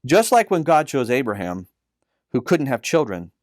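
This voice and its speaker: A man's voice: mid-range voice, very animated, moderate pace, darkish tone, no room sound. Man narrating a children's story, dry sound. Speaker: storyteller, author reading own story.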